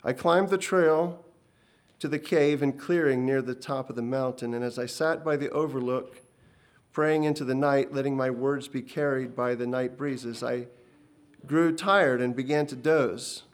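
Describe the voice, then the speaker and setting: A man playing an earnest, shaken old prophet recounting a vision in a hushed voice. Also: mid-range voice, steady tone, a little slow, lightly acted.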